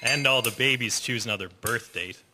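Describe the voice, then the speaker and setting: A man's voice: medium pitch, fairly quick, background noise, natural voice. A man playing a dry, resigned municipal plow worker in a radio drama. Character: wry male plow worker.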